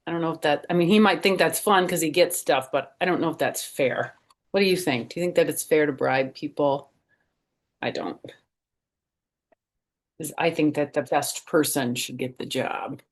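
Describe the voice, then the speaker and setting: A woman's voice: deep voice, moderate pace. A woman reading a picture book aloud to children, explaining things and asking her young listeners questions as she goes. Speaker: storytime reader and former first grade teacher.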